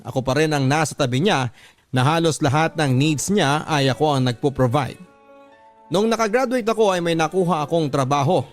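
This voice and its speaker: A man with a Filipino accent reading a listener's letter aloud as a radio drama narrator, in a scripted dramatic storytelling style. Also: a little high. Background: background music.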